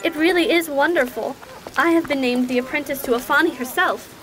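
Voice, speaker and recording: A woman's voice: high voice, a little fast, fairly bright tone, soft background music, rough recording. A woman playing an eager, well-mannered young noble daughter in a fantasy audio drama. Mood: bright, eager.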